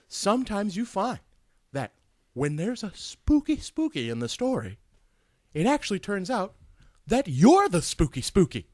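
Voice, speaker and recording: A man puts on a campy warrior horror host with a high-pitched voice that swoops wildly up and down. He is boastful and bombastic, playfully overdoing every phrase in a dry, close recording. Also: darkish tone, no echo, heavily acted. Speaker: host of a spooky story podcast. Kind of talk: theatrical comic hosting.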